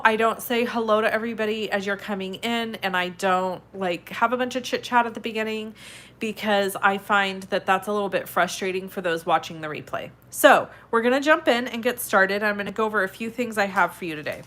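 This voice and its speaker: A woman with a stuffed-up head cold hosting a live card-making tutorial in a chatty, upbeat way, sniffling and clearing her throat now and then. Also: mid-range voice, a little fast, a bit bright. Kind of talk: casual live tutorial chat.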